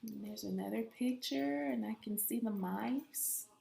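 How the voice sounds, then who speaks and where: A woman's voice: a little high, unhurried, creaky, dark tone, a little hiss or hum. A woman reading a children's story aloud expressively, like a teacher reading to a class. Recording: echoey, roomy sound.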